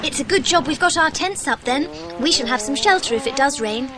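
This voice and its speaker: A woman playing an eager, inquisitive English child in a dramatised adventure audiobook, excitable and full of questions. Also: high voice, brisk, a crowd in the background.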